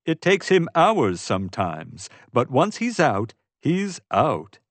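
A man putting on a fussy, congested man for an audiobook. He sounds nasal and exasperated, pitched up and swinging emphatically through his complaints, in a dry close recording. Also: wildly swooping pitch, slow, no room sound, exaggerated voice. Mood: emphatic, weary. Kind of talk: audiobook character voice.

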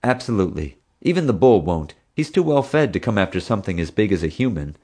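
A man's voice: on the low side, big pitch swings, quick pace, mellow. A man voicing a young hero in an audiobook story, earnest and urgent as he reasons through danger. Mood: confident explaining.

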